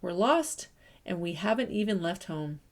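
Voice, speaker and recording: A woman voicing a worried young forest animal neighbor in a higher, anxious voice. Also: sing-song, unhurried.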